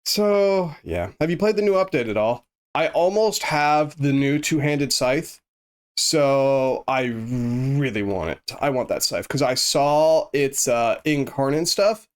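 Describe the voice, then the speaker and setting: A man's voice: medium pitch, lively intonation, slow, clear, resonant tone. Congested man chatting casually on stream. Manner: rambling, easygoing, thinks aloud with many restarts. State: congested, sniffly. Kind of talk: casual chat with live viewers while gaming.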